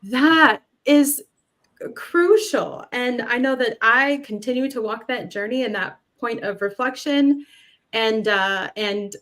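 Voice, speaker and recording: A woman's voice: fairly high pitch, a bit bright. Earnest woman reflecting on a panel. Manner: earnest, reflective, emphatic on key words.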